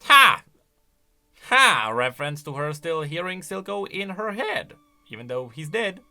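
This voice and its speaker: Animated male commentator with bright, high-ish voice. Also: normal speed. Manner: animated, exclamatory. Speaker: YouTube commentator. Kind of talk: unscripted reaction commentary on game voice lines.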